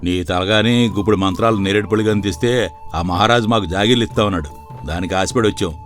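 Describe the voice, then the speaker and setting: A man's voice: lowish voice, fast, faint music behind, lightly acted. A man voicing a defeated captive soldier who pleads and bargains, in a storybook cartoon. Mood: pleading.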